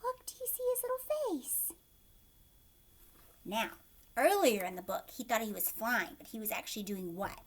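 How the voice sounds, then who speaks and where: A woman teacher reading aloud to young children, expressive, putting questions to the listener and often dropping into a hushed voice. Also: on the high side. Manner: expressive, asks questions and pauses as if answered.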